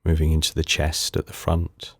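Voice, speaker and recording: A man leading a guided meditation in calm, unhurried, soothing short phrases with pauses between them. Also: very deep voice, slightly rough, no room sound, studio quality. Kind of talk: guided body scan meditation.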